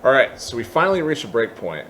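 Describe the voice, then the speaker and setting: Man with lively pitch walking through a tutorial. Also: quick pace, a little hiss or hum. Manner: thinking aloud, openly tentative about details. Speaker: tutorial presenter. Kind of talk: software tutorial walkthrough, informal explanation.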